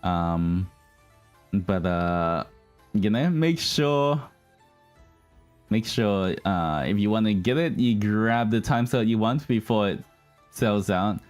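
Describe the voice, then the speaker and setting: A man with a fairly low voice hosts a casual livestream. He rambles along informally, talking straight to his audience as a group. Music plays behind him. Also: expressive, slow, pauses often, darkish tone, clear, resonant tone. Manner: rambling, addresses audience as guys. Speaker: livestream host, virtual streamer. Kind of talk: casual livestream chat with viewers. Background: background music.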